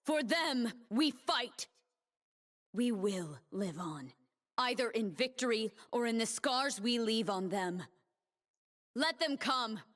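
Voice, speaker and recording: An actress voicing a proud, commanding warrior dancer who defends her homeland, speaking solemn, measured lines for a video game. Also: a little high, animated, a bit bright, in a large hall. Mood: grim resolve.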